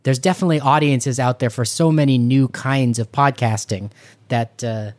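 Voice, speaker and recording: A man's voice: mid-range voice, fairly even intonation, normal speed, a little mellow, no room sound, clean studio sound. A middle-aged man co-hosting a podcast in casual talk, often repeating words while he forms a thought. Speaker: podcast co-host. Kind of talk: casual conversational discussion.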